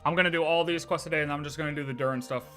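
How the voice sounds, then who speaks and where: A woman's voice: sits low, fairly even intonation, rapid-fire, loud music behind the voice. A woman game streamer giving casual live reaction commentary, informal, with wry asides to the characters.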